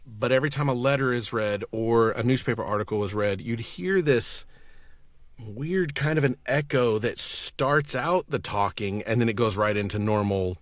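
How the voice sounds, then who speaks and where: A man's voice: medium pitch, normal speed, no echo. A man chatting casually as a podcast guest, an audio engineer talking with enthusiasm. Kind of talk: casual chat.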